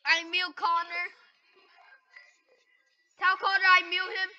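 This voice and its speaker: A young gamer chatting casually with friends while playing, excitable and given to foul-mouthed teasing. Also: extremely high pitch, slow, dull audio, faint music behind. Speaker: video game player. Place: gaming voice chat over screen capture.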